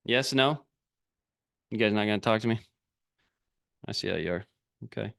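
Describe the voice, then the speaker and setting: A man's voice: on the low side, moderate pace, gravelly, fairly bright tone, clean tone. Blunt, punchy man coaching a group. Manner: blunt, repetitive, punchy short sentences. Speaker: business coach leading a group call. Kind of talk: motivational talk on a live video call. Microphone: video call.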